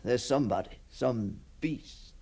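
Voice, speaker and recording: A shaken, brooding gentleman in a literary radio drama. His voice is husky and creaky, and he speaks slowly, with halting, anguished intensity and a theatrical air. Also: medium pitch. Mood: dazed, searching.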